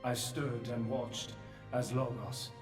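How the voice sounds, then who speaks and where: An actor playing a solemn, remorseful, godlike old creator in a scripted video game drama, speaking in measured, philosophical phrases. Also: male voice, mid-range voice, fairly even intonation, lightly acted. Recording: roomy long reverb, distant, faint music.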